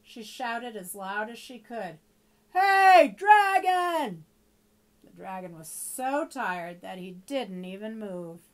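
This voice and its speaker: A woman's voice: medium pitch, a little slow, hardly any pauses. A woman in her fifties reading a picture book aloud expressively for young children. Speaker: storybook reader.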